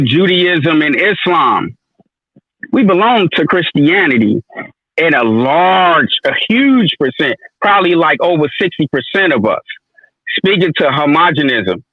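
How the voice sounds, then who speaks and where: A man's voice: a little high, no room sound. A man speaking up in an online audio room, lecturing at length with many fillers and restarts. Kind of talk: audio chat room discussion. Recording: tinny phone-like audio.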